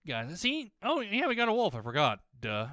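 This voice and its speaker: A young man ranting while playing a game, rambling, swearing a lot and often restarting his sentences, his voice slightly hoarse as it warms up. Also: high-pitched, huge pitch swings, moderate pace, no echo, studio quality. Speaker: gaming YouTuber.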